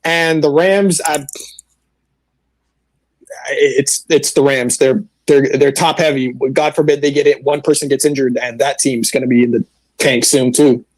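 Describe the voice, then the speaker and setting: A man's voice: mid-range voice, steady tone, unhurried, dry recording, low-quality recording. A man giving blunt, opinionated sports analysis casually as a fan panelist.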